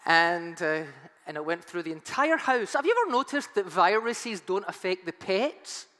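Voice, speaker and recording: A man's voice: high-pitched, animated, normal speed, bright, forceful tone. A man preaching a sermon as pastor, his voice strained and nearly gone, talking in a chatty way with self-mocking humor. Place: church hall. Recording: long hall reverb, faint music.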